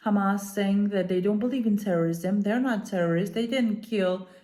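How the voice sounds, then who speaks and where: Mellow-voiced woman from Iran, earnest and halting. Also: mid-range voice. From Iran, moved to Denmark as a child. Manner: earnest, halting, deliberate emphasis. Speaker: commentator, activist speaker. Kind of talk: talking to camera, unscripted opinion commentary.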